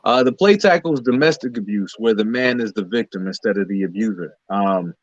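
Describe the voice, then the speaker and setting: A man answering as an interview guest in a halting, explanatory, conversational way, pausing between phrases. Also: lowish voice, dry recording, muffled recording. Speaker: interview guest, playwright and lead actor.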